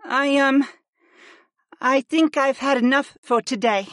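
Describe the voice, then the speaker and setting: A woman's voice: sits high, slow, fairly bright tone, no echo, studio quality. A woman playing a timid, discouraged student summoner girl in an audiobook, speaking in a hesitant, faltering way. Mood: hurt, tearful. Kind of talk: audiobook character acting.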